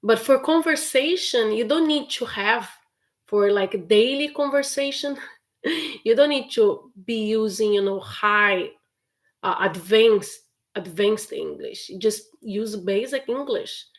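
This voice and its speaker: A woman's voice: on the high side, expressive, slow. A woman with a Brazilian accent, a native Portuguese speaker, answering questions as an English teacher in a chatty, encouraging live Q&A. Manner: encouraging, chatty, laughs often. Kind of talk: live stream Q&A.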